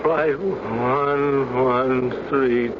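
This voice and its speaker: A gruff airline captain, old radio drama, muffled with engine noise behind, clipped and exasperated. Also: male voice, mid-range voice, fairly even intonation, drawn-out words. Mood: strained, fading. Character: gruff male airline captain, weary and commanding. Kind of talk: 1970s radio drama acting. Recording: noisy dull old radio broadcast, engine drone.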